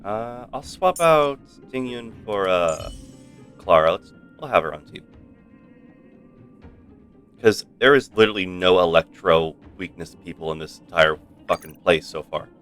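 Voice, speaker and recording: A man doing casual live gameplay commentary as a streamer, thinking aloud in a halting way and swearing now and then. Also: low-pitched, lively intonation, a bit bright, smooth, faint music behind. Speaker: game streamer.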